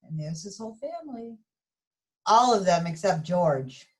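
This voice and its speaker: Lady reading a storybook to little kids, really lively up and down voice, talks right to them. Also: medium pitch, unhurried. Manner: expressive, addressing children directly. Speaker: story reader for young children. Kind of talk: read-aloud picture book narration.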